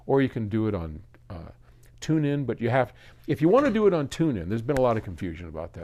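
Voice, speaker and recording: A man's voice: on the low side, a little mellow. A man talking casually as a host answering listeners, explaining things conversationally and thinking aloud, with emphatic stresses on key words. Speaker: record label host answering listener questions. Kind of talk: casual explanatory talk to camera.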